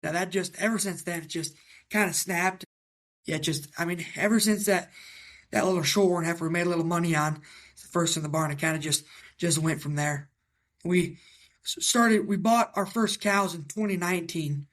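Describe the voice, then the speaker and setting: A man in his twenties from Iowa with a raspy voice, answering interview questions in a halting, casual way with many fillers. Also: a little high, steady tone, a bit bright. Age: 20s. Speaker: guest, young cattle breeder.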